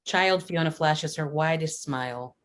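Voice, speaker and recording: A woman with a deep-set voice narrates a screenplay. She reads scene headings and action lines as the stage-directions reader at a table read. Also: measured pace.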